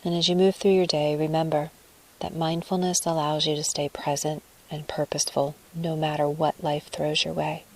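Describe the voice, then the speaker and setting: A woman leading a guided meditation in a soft, breathy, soothing voice, phrasing deliberately with pauses. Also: low voice, little pitch movement, rough recording.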